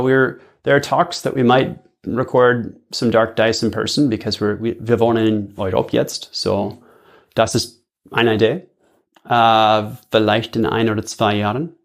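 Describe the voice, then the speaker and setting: Guy with a creaky voice chatting on a podcast, english native, drops bits of halting german in. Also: on the low side. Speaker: podcast guest, audio drama creator. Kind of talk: casual interview chat.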